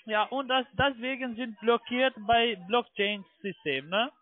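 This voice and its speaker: A man with a strikingly high voice and a foreign accent talks in halting, ungrammatical German. He sounds like an improvising phone salesman, tacking small question tags onto his sentences. The line is narrow and muffled, with a dry sound. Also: no room sound. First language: not German. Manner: halting, ungrammatical, often ending on "ne?". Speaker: phone scam caller posing as broker. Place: telephone call.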